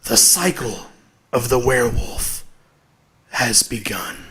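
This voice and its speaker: A man narrating a horror story in a dramatic, deliberate, suspenseful way, with weighted pauses. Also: medium pitch, animated, very noisy. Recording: music bed behind the voice. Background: clearly audible music.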